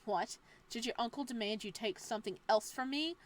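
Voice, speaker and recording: A woman's voice: a little high, fairly quick, natural voice. A woman voicing a cranky, paranoid middle-aged aunt who snaps and sneers at her relative in a game. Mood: scornful. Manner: snappish, scornful.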